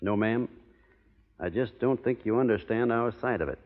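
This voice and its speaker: An actor playing a plainspoken, courteous, reserved American cowboy in a 1930s radio drama. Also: male voice, medium pitch, moderate pace, close to an everyday voice. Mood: earnest. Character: plainspoken, courteous young cowboy of the Old West. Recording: old muffled radio broadcast, long hall reverb.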